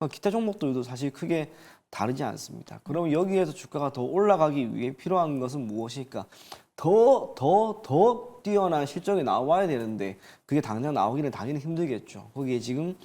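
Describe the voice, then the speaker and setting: A man's voice: on the high side, animated, brisk, hardly any pauses, warm tone. A man working as a stock market analyst, giving formal, firm, explanatory commentary.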